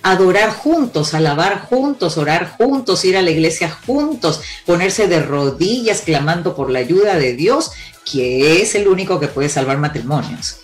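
A woman's voice: on the low side, normal speed, soft background music. A woman, born in Cuba and raised in Peru, giving emphatic relationship advice as an interview guest. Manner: emphatic, lively storyteller with sweeping declarations.

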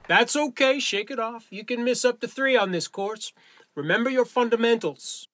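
An actor playing a firm but encouraging firearms range instructor in an audio drama, giving clipped commands with genial authority. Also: male voice, very high-pitched, moderate pace, fairly bright tone, dry recording. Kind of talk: naturalistic audio drama acting.

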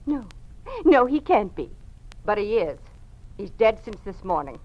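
A woman's voice: medium pitch, very animated, a little slow, a little mellow. An actress playing a spiteful, gloating party informer in an old-time radio drama, taunting and sneering as she talks. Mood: mocking, gloating. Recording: dull, band-limited old radio recording.

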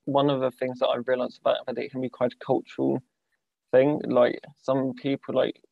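A man's voice: mid-range voice, completely flat delivery, normal speed, creaky, no echo. A young man hosting a podcast, talking hesitantly with many "like"s and false starts. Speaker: podcast host. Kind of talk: conversational interviewing. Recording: dull lo-fi dry sound.

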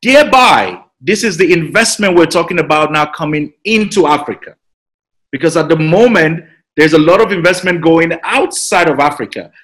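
A man's voice: fairly high pitch, expressive, normal speed, fairly bright tone. A man with a Nigerian accent, a citizenship consultant explaining things patiently in an interview, with many fillers and repeated words. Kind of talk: interview answer.

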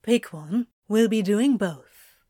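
Woman voicing a slow, teasing male exercise instructor. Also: mid-range voice, lively intonation, dark tone, dry recording. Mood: firm, coaxing. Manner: playful, coaxing, firm. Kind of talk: acted audio fiction reading.

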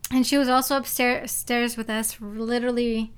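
A woman's voice: a little high, flat delivery, moderate pace, clear, resonant tone. A young woman co-hosting a podcast, chatting loosely and casually with lots of fillers. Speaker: podcast co-host. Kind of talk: casual chat.

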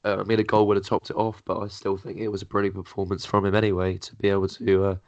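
A young man with a slightly croaky voice from being ill chats easily through a podcast. His voice is lowish and flat. The recording sounds dull and lo-fi. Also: fast talker, no echo. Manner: relaxed, filler-heavy, easygoing. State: croaky from illness. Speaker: podcast host. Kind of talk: casual chat. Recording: dull lo-fi audio.

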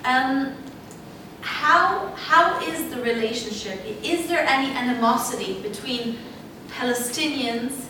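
Hesitant woman asking from far back in an echoey hall. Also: on the high side. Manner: hesitant, lots of restarts. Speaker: audience member asking a question. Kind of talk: audience question. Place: lecture hall audience. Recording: distant voice in a very echoey hall with noise.

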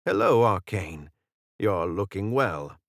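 A man voicing a composed older woman in authority, direct and measured, in an audiobook story. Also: low-pitched, sing-song, gravelly, no echo, studio quality, exaggerated voice. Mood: serious.

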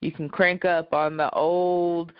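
A woman's voice: fairly low pitch, level tone, takes it slowly, no echo, muffled recording. A woman co-hosting a podcast in casual chat, with a dry way of talking. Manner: dry delivery. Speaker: podcast co-host.

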